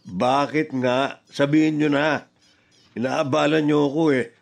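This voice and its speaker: A man's voice: on the high side, steady tone, a little slow, creaky. A man with a Filipino accent playing a selfish, scheming, defiant middle-aged father in a radio drama. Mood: defiant, irritated.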